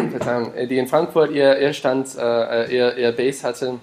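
A man's voice: medium pitch, steady tone, a little slow, gravelly, a little hiss or hum. Hesitant man. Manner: hesitant, many fillers and restarts, mixes in English words. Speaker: podcast guest, startup founder. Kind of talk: casual interview talk.

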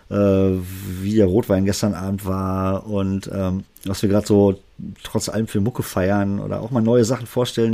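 A man's voice: on the low side, mellow. A man with a Berlin accent, a music producer chatting casually in an interview, rambling with many fillers.

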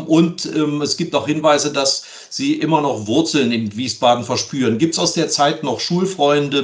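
A man with a medium-pitched voice interviews a guest for a podcast. His phrasing is formal and a little roundabout, yet conversational. He sounds some distance from the microphone. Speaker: podcast host.

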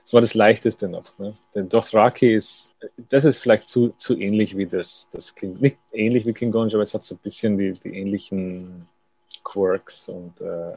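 A man speaks as a writer being interviewed, slow to settle on his words and quick to make light of himself. His voice sits low, with a gravelly fry and a dark, mellow tone. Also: fairly even intonation, no room sound, low-quality recording. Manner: musing, thoughtful, self-deprecating. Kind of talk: radio interview.

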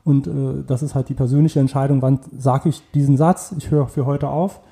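A man's voice: mid-range voice, steady tone, moderate pace, vocal fry, warm tone. A man in his forties from the Saarland, answering interview questions in a casual, hesitant way with many fillers and restarts. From Saarland, Germany. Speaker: entrepreneur, interview guest.

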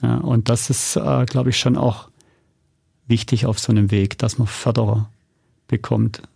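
A man with noticeable fry in an ordinary mid-range voice, recorded very dry. He talks as an interview guest, informal and anecdotal, like someone recounting his working life. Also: medium pitch, fairly even intonation, a little slow, dark tone, dry recording. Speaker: interview guest, audio drama and documentary producer. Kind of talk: conversational storytelling in an interview.

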